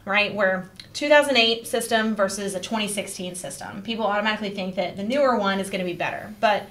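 A woman in her thirties hosts the show with a bright, forceful tone. She explains things clearly and guides the conversation. She speaks into a desk podcast mic in a small office. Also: mid-range voice, quick pace. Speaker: real estate agent host. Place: small office studio, indoors.

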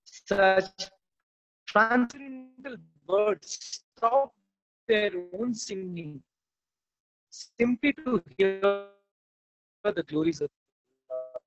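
High-voiced man teaching scripture over a call. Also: extremely slow, pauses often, faint music behind. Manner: reads verses then explains with animated emphasis. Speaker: class speaker. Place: indoor room at home. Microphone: video call, laptop or phone camera. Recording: dull lo-fi video call audio.

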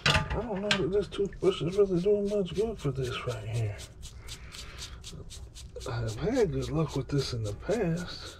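A man's voice: fairly high pitch, expressive, measured pace, long pauses. Folksy man chatting over running water. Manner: rambling, folksy, talks to the dog. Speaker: vlogger washing his dog. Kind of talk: casual running commentary. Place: home kitchen, at the sink. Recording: running water noise behind.

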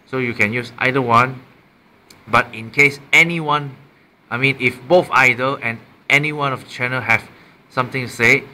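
Halting Malaysian man, bright voice. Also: medium pitch, a little hiss or hum. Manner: halting, often repeating and correcting himself. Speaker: amateur product reviewer. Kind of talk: casual unscripted gadget demonstration. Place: small room indoors at a desk. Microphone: built-in mic of a handheld camera.

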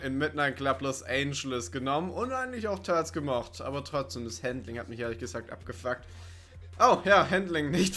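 A man with a bright, slightly high voice comments casually on a video game. He is wry and sarcastic, with some music behind him. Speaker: let's play gamer.

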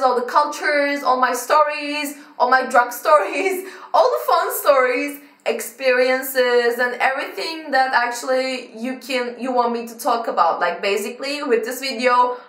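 Energetic Turkish-accented woman in her twenties. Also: a little high. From Turkey. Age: 20s. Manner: energetic, fast-talking, animated gestures. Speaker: vlogger. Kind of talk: channel introduction to camera. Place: small decorated bedroom, indoors. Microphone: camera mic from a few feet away. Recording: roomy, somewhat distant mic.

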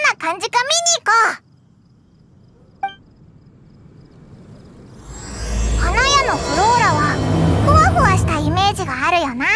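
Squeaky, cheeky little fairy sidekick. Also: female voice. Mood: excited. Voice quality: childlike, squeaky. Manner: brash boyish speech, exclamatory and nosy. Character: tiny chirpy floating fairy companion, cheeky and excitable. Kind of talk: anime game voice acting.

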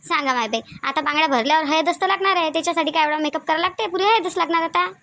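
Cartoon village auntie played by a woman: Varhadi Marathi accent, very high and bright, bossy and nagging. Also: racing, no room sound, heavily acted. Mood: chatty, bossy. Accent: Varhadi Marathi of Vidarbha. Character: chatty, bossy village women at a wedding ritual. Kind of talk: cartoon comedy dialogue.

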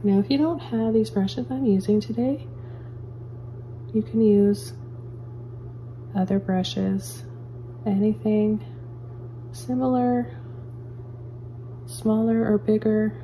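Gentle woman teaching a painting tutorial. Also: mid-range voice, slow pace, long pauses, slight background noise, rough recording. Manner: calm, gentle step-by-step instructions. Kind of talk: real-time painting tutorial. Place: indoor studio at an easel.

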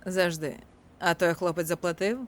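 A woman's voice: lowish voice, level tone, moderate pace, heavy background noise, lightly acted. A woman voicing a jaded young shop clerk in a cartoon, casual and easily exasperated. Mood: weary. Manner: casual, weary, scolding when annoyed. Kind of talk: animated comedy fan dub.

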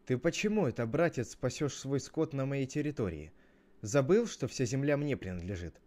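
A man voicing a domineering, hot-tempered grown brother in an animated parable, bossy and mocking. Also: mid-range voice, normal speed, close to an everyday voice. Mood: scornful, angry.

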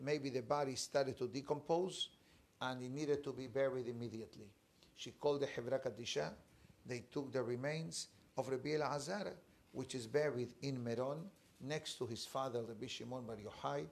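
A rabbi tells a story to his class, seated before a fixed camera in a small study. He speaks in an animated, emphatic way, leaning on dramatic pauses. His voice sits at an ordinary middle pitch for a man. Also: gravelly. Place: study room with bookshelves, indoors. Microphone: fixed camera, seated at desk.

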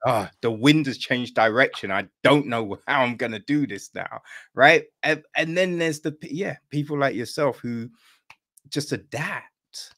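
A man's voice: medium pitch, a little slow, a bit bright. An older man hosting a casual podcast interview, speaking informally with hesitant phrasing. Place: indoor room at home, on a video call. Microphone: video call.

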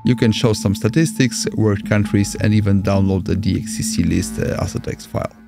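A man's voice: lowish voice, a little fast, creaky. A man from Poland with a Polish accent, narrating a step-by-step amateur radio screen tutorial as its host. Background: music.

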